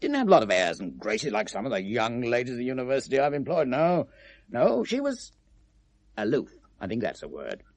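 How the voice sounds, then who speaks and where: A British shopkeeper in a vintage radio play. The voice creaks and rasps, swinging widely in pitch, close and dry on the recording. He is chatty but evasive, always justifying himself. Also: wildly swooping pitch, fairly quick, dry recording. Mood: grudging, explaining. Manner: hesitant, defensive, chatty. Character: evasive, self-justifying male gift-shop owner. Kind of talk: 1970s British radio drama acting.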